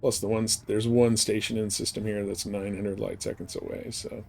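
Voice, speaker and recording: A man's voice: fairly low pitch, little pitch movement, normal speed, creaky, darkish tone. A man chatting casually and informally as he streams a game. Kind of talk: casual chat while playing a space game live stream.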